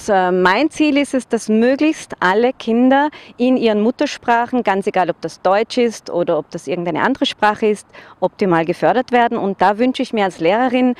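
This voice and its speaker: A woman's voice: mid-range voice, darkish tone, dry recording. A middle-aged woman teacher from Vorarlberg with an Austrian German accent, speaking earnestly outdoors into a handheld microphone in front of a school. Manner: earnest, measured, with occasional hesitations. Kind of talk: personal testimonial to camera.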